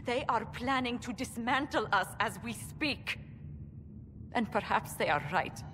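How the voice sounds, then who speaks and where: A woman's voice: fairly high pitch, a little slow, over music, lightly acted. A woman playing a principled, exasperated diplomatic adviser in a video game, with formal, articulate delivery. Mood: indignant. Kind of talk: video game character acting. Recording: long hall reverb.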